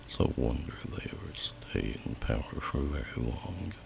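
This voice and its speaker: An ancient, kindly dragon with a low, rumbling voice full of heavy growl. The phrasing is pensive and old-fashioned, rising and falling expressively in a soothing ASMR fantasy style. It is captured on a noisy amateur recording. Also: male voice, lively intonation, heavily acted. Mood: wry, disapproving. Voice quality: very deep, gravelly, breathy growl. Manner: slow, pensive, archaic formal phrasing. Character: gentle, wise old male dragon, welcoming host.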